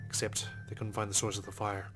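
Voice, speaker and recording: Male let's play reader for story-game narration: deepish voice, rapid delivery, music underneath. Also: completely flat delivery. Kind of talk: narration read aloud from a visual novel.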